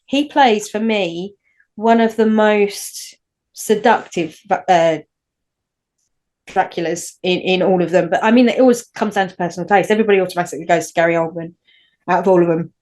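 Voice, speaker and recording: A woman's voice: on the low side, normal speed, darkish tone. A woman with a Northern English accent, co-hosting a casual podcast chat and rambling through her points with frequent restarts. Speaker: podcast co-host.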